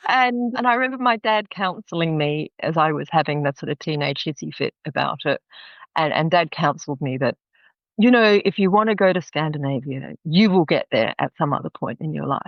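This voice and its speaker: A woman's voice: low voice, lively intonation, moderate pace, dry recording, highs cut off. A woman in her fifties with an Australian accent, a podcast guest telling stories chattily and often laughing. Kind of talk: podcast interview.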